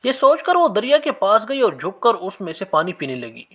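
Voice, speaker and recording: A man narrating scripted religious moral stories in an expressive, measured storytelling manner. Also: high voice.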